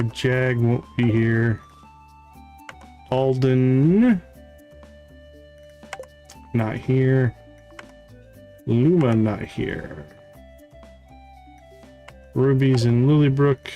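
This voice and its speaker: A man's voice: mid-range voice, mellow. A man livestreaming a video game, casually thinking aloud in short phrases as he plays. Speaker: live streamer, game host. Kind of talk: casual gameplay commentary, thinking aloud and reading game dialogue. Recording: music playing behind the voice. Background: game music.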